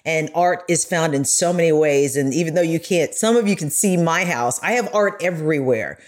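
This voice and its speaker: Low-voiced woman, an earnest podcast guest. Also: moderate pace, a little mellow. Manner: earnest, emphatic. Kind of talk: conversational personal commentary.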